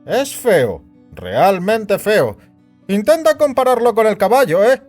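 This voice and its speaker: Man acting a high-voiced, scheming senior boss. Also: very animated, slow, a little mellow, heavily acted. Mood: bossy. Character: Rachen, scheming, worried senior boss, melancholy and bossy. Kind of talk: scripted Spanish audio drama acting. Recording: music bed behind the voice.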